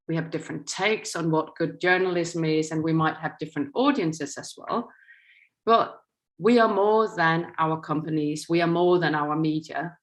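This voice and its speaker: A woman's voice: lowish voice, a bit bright. A middle-aged woman with a Danish accent, a non-native English speaker giving an earnest inaugural lecture to journalism students over a video call, partly reading from a script. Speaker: guest lecturer.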